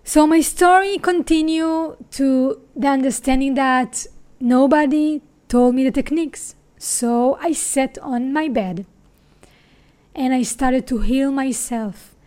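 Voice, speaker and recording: Israeli woman, high voice, podcast host, emphatic and spiritual monologue. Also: steady tone, slow pace, a little mellow. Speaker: sex coach and podcast host. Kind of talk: personal monologue.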